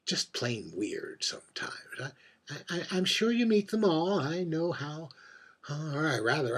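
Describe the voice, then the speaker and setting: A man acting out a heartbroken, boozy drag queen in a stage monologue. The voice is husky and breathy, and the words come very slowly, with theatrical pauses. The delivery is wistful and confiding, like someone pouring their heart out at a bar. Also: fairly high pitch, exaggerated voice. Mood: chatty, tipsy. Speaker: writer reading own monologue.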